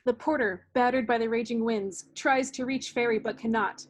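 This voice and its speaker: A woman narrates a staged play reading. Her voice is fairly high, and she reads the scripted directions dramatically. It is captured over a video call. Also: steady tone, moderate pace.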